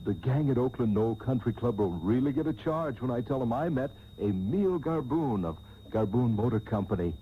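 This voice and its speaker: A man's voice: mid-range voice, expressive, moderate pace, a little hiss or hum, natural voice. A man playing a naive, polite young country-club fellow in a comic radio soap, earnest and deferential. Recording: tinny, humming old radio tape.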